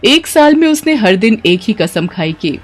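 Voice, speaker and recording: A woman's voice: mid-range voice, sing-song, moderate pace. A woman telling a scripted dramatic story as its narrator.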